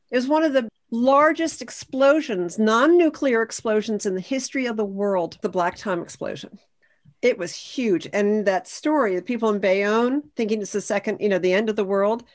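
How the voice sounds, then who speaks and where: A woman gives an explanatory history talk as a panelist on a video call. She phrases things deliberately and carefully, with a mid-pitched voice. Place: indoor room, on a video call. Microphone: video call microphone.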